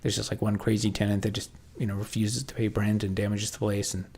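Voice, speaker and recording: A man with a Canadian accent talks on a livestream in a lowish, gravelly voice with a husky edge. He thinks out loud and often stops and restarts his sentences as he answers viewers. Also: little pitch movement. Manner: halting, thinking aloud, frequent restarts. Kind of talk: casual livestream chat, answering viewer comments.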